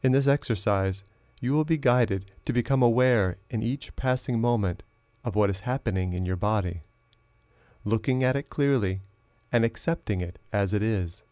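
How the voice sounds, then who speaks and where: A man narrating a guided meditation as a teacher, in a slow, measured, instructional way with long pauses. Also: lowish voice, a little mellow, dry recording.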